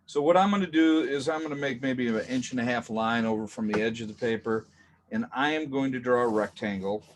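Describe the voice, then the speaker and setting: A man teaching a drawing class in a relaxed, chatty way, talking through each step and adding jokey asides. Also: mid-range voice, brisk, background noise. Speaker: art teacher. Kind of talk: casual drawing lesson.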